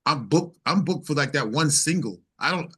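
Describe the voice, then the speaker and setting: A man's voice: fairly high pitch, animated, breathy edge. A man from New York speaking casually as an interview guest, thinking aloud with repeated words. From New York, United States. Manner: halting, thinking aloud with repetitions. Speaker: singer-songwriter interview guest.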